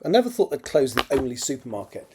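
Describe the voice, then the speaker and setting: Anxious, forlorn man, acted by a storyteller, raised pitch. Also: big pitch swings, quick pace, noisy background.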